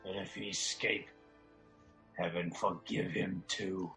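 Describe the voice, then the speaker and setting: A man's voice: deep voice, little pitch movement, takes it slowly, pushed and bright, soft background music, exaggerated voice. A man playing an emotional, grave nobleman in a Shakespearean radio drama, speaking dramatic verse. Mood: anguished, resolute. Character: Macduff, a grief-stricken Scottish nobleman turned vengeful.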